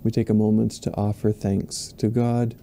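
A man in his forties, a minister leading online worship from a home office, reading prayers in a slow, measured way into a webcam. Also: fairly low pitch, little pitch movement, gravelly, warm tone, slight background noise. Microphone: webcam at desk, video call framing.

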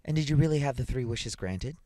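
A man's voice: mid-range voice, lively intonation, a little fast, mellow, no echo, clean studio sound, voice pushed up, close to an everyday voice. A man voicing a homely, inquisitive old mother in a story, with a wry, questioning manner.

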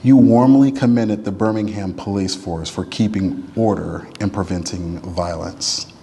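A man reads a written letter aloud in a solemn, oratorical style, with deliberate, emphatic phrasing and slowed, falling endings to phrases. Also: low-pitched, dark tone, a little hiss or hum. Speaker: reader at a church service. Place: church sanctuary. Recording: long reverb of a large hall.

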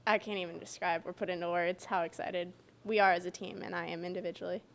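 A woman's voice: fairly low pitch, steady tone, fast talker, gravelly. A young woman in her twenties who speaks with enthusiasm. She is answering interview questions into a handheld mic. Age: 20s. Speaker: college softball player.

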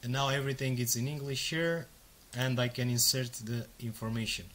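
A native Romanian man in his thirties records a screen walkthrough at his desk. His voice is bright and forceful at a middle pitch. He talks casually, step by step, with plenty of fillers. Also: steady tone, fairly quick. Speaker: software demo presenter.

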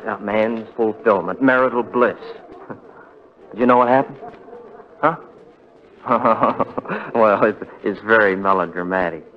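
A man's voice: medium pitch, lightly acted. An actor playing a bitter, self-pitying, paranoid young man in a 1940s radio melodrama, sarcastic and repeating himself. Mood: bitter, mocking. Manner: sarcastic, muttering repeats, dramatic. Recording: dull vintage 1940s radio recording.

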